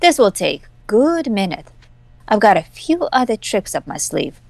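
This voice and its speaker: A woman's voice: mid-range voice, big pitch swings, unhurried, vocal fry, darkish tone, no room sound, heavily acted. A woman playing a sardonic young woman hacker in an amateur game reading, wry, flippant and casual. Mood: focused, flippant. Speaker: voice actor in a hobby visual novel performance.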